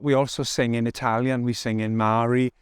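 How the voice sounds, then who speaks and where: Smooth-voiced man in a dry room. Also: medium pitch, flat delivery, fairly quick, no room sound. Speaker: choir member.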